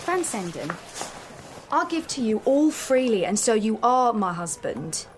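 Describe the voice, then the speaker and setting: A woman's voice: on the high side, big pitch swings, a little slow, noisy background. An actress playing a young Tudor woman in a period radio drama, bawdy, pleading and coaxing. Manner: coaxing, brazen.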